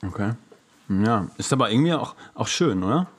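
A man's voice: fairly low pitch, animated, brisk, husky. A man co-hosting a podcast, chatting casually in a loose, slangy way with trailing asides. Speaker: podcast co-host. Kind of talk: casual chat.

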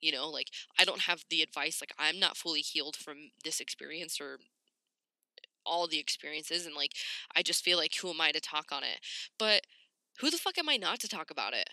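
A woman talks casually and frankly, as a podcast host would, with slang and swearing. Her voice is very bright and forward, with a slight rasp and the congestion of a cold. The sound is dry, with hardly any room on it. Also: sits low, expressive, fairly quick, no echo. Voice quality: slightly raspy. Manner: candid, informal, sweary, slangy. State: congested, getting over a cold. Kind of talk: casual solo podcast talk.

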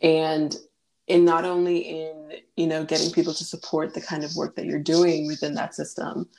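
A woman's voice: low-pitched, flat delivery, moderate pace, mellow, low-quality recording. A woman hosting an interview who asks long, rambling, thoughtful questions with many fillers. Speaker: host. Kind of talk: conversational interview.

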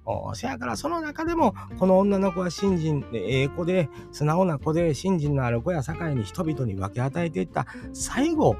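A middle-aged man with a Kansai accent talks through a fairy tale, musing aloud and tossing questions to his listeners. His voice is gravelly and his pitch rises and falls a lot. Music plays clearly behind him. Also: sing-song, normal speed, hardly any pauses. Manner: musing, chatty, rhetorical asides to listeners. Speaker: storytelling channel host. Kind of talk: casual spoken commentary on a fairy tale.

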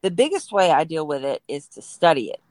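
A woman's voice: low-pitched, sing-song, fairly quick, darkish tone, no room sound. A woman playing an edgy, eccentric woman, guarded yet frank, in an audio drama. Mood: frank, intense. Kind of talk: amateur audio drama dialogue.

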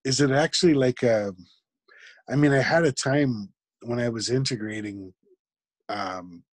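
A man, a guest in an interview, talking reflectively and haltingly, with frequent restarts. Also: medium pitch, no echo. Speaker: podcast guest. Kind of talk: conversational interview.

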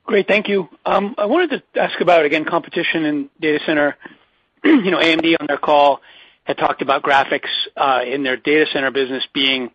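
A man's voice: on the high side, brisk. A man speaking as a financial analyst, asking a conversational question on an earnings call. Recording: dry, dull phone call audio.

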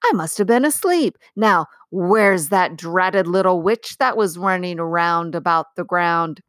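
A woman's voice: fairly low pitch, animated, a little slow, fairly bright tone, no room sound, studio quality. A woman voicing a huge, good-tempered male giant in a storybook, groggy and blustering with puzzlement. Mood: groggy, puzzled.

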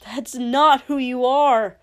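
A woman's voice: on the low side, takes it slowly, darkish tone. A woman voicing an earnest, frightened but defiant young man in a story reading, intense and insistent. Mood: demanding. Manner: intense, hissing and pleading. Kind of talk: dramatic audiobook-style character reading.

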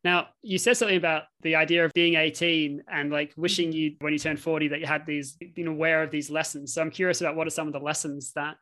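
A man's voice: fairly high pitch, flat delivery, fast, hardly any pauses, bright, forceful tone. A man hosting a podcast, reading a polished, upbeat introduction and then interviewing a guest. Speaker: podcast host and coach.

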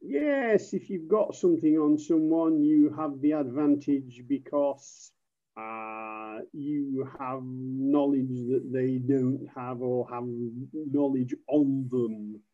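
Slow British-accented man, muffled sound. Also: medium pitch. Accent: British English. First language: English. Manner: measured, slightly formal. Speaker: podcast guest, native speaker. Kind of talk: giving example sentences in a lesson.

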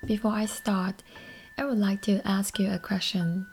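A woman from Taiwan whose first language is Mandarin, speaking English with a Taiwanese accent as a podcast host, in a soft, airy, half-whispered scripted monologue. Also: mid-range voice, little pitch movement, normal speed, faint music behind.

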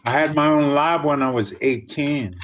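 A man in his sixties, born in Germany, answering interview questions as a guest scientist in a measured, reflective way. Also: a little high, a bit bright, highs cut off. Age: 60s. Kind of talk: podcast interview.

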